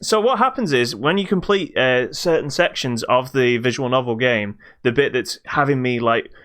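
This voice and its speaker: A man co-hosting a podcast, reviewing games in casual chat with halting explanations that trail into dismissive asides. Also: medium pitch, expressive, fairly bright tone. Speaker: podcast co-host.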